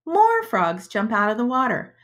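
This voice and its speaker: A woman reading a storybook aloud to young children as a schoolteacher, in a deliberate, expressive manner. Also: fairly high pitch. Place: indoors, webcam screen recording.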